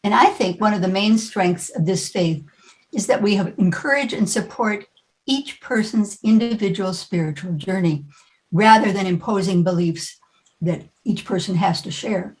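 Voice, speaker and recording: A woman leading a congregation's service with an informal, conversational welcome, halting now and then as she speaks. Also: medium pitch, low-quality recording.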